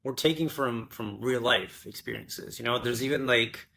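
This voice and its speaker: A man hosting an interview, asking questions in a halting way with many restarts. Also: mid-range voice, creaky, bright, forceful tone. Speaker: podcast host. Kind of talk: casual interview.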